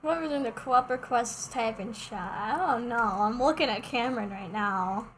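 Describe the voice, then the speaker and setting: High-voiced young woman streaming a game. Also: normal speed, a bit bright, slight background noise. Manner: playful, slangy, exclamatory. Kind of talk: casual live gaming commentary.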